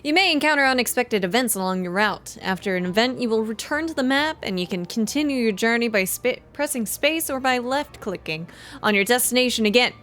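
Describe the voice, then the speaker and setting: A woman's voice: on the high side, lively intonation, a little fast, a bit bright. A woman giving casual livestream commentary on a game and reading its text aloud. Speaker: game streamer. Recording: faint music behind the voice. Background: game music.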